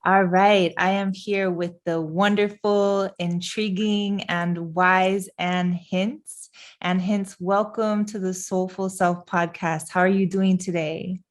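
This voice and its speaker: A woman's voice: medium pitch, fairly even intonation, takes it slowly. A young woman hosting a podcast from her living room. She opens the show and interviews her guest with upbeat enthusiasm. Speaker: podcast host.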